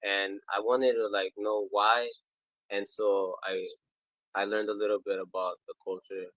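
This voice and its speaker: A man's voice: on the low side, flat delivery, measured pace, fairly bright tone, no room sound, poor audio. Casual American man, dry close sound. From Chicago, United States. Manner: hesitant, frequent "like". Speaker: podcast guest. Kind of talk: casual interview chat.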